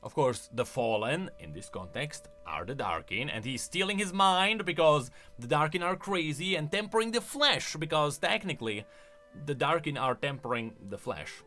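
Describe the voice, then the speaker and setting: A man with a fairly high, bright voice talks casually like a gaming YouTuber. His delivery swings up and down in lively fashion as he explains and gives his opinions. Faint music plays underneath. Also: normal speed. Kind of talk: casual commentary on game voice lines.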